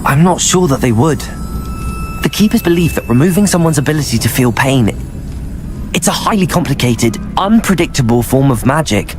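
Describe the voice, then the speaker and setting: An actor playing an earnest, cautious young wizard student in a video game, speaking in a measured way. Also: male voice, mid-range voice, animated, lightly acted. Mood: hesitant. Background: game music.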